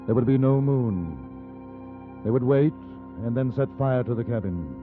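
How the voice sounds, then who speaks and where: An actor playing a resolute, reserved gentleman on the frontier, measured and understated, in a 1950s radio western. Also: medium pitch, expressive, close to an everyday voice. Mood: fatalistic narration. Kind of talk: 1950s radio western acting with first-person narration. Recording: muffled vintage radio recording with music.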